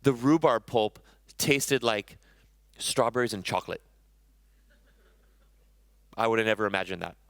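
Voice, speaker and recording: A man's voice: lowish voice, a little slow, gravelly, pauses often, a bit bright, no room sound. A man in his thirties, a chef giving a conversational conference talk on stage, often correcting himself mid-sentence. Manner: conversational, self-correcting, enthusiastic about food.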